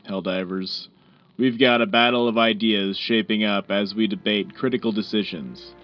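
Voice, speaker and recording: A man playing a measured, serious host moderating a scripted wartime radio debate. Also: fairly low pitch, lightly acted. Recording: dull lo-fi radio sound with music bed.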